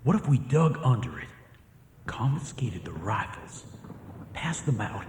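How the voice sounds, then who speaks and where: An actor playing an earnest, devoted Confederate prisoner plotting escape in a radio drama. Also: male voice, lowish voice, very animated, a little slow. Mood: hushed, urgent. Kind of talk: radio theatre acting. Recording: echoey hall reverb, faint noise.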